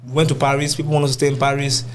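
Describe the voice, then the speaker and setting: A young man with a Nigerian accent speaks as a guest on a music podcast. His talk is colloquial and casual, in a medium-pitched voice. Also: level tone, very fast. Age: young adult. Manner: colloquial, uses "you know what I'm saying". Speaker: musician being interviewed.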